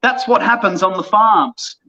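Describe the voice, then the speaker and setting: A man's voice: high voice, unhurried, muffled recording. A man in his thirties telling a story in casual chat, acting out the people in it. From Australia. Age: 30s. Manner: animated, acts out voices in his stories. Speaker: podcast guest.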